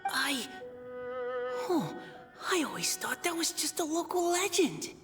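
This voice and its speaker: A woman's voice: sits high, big pitch swings, measured pace, heavily acted. A woman playing an earnest, knowledgeable young female adventurer in a video game cutscene. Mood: earnest explaining. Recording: background music under dialogue.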